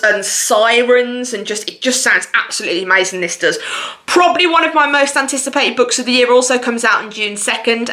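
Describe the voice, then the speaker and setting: A woman with a fairly high, very bright voice chats casually as a book vlogger. Her delivery is gushing and expressive, in a loose, conversational monologue. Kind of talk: casual chatty video monologue about books.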